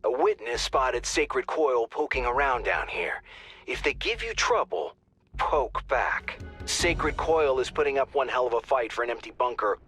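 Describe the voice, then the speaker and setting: An actor playing a brisk, wry mission controller giving informal, urgent dispatches in a game. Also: male voice, medium pitch, animated, fairly bright tone. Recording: music under the voice.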